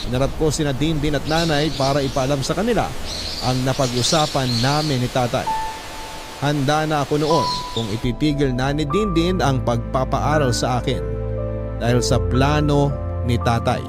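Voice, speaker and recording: A man's voice: mid-range voice, normal speed. A man with a Filipino accent narrating a scripted first-person story in an audio drama. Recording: loud music under the voice.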